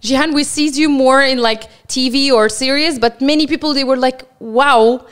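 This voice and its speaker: A woman from Morocco, a journalist and TV presenter whose first language is Arabic, telling her story as an interview guest with lively acted-out quotes. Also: high-pitched, normal speed, smooth. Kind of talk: casual interview chat.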